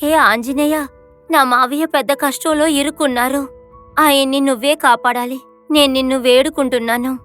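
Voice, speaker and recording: A woman plays a kind young heroine in her twenties from an animated moral story. She speaks earnestly and pleadingly in a high voice, with clear music underneath. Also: normal speed. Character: kind, devout 22-year-old poor young woman.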